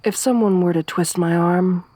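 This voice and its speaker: Woman acting tough survivor girl, soft-spoken, gruff and teasing, flat delivery, lowish voice, ASMR roleplay. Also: moderate pace, dry recording, close to an everyday voice. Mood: low-key, wry. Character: tough, wry young woman wasteland survivor.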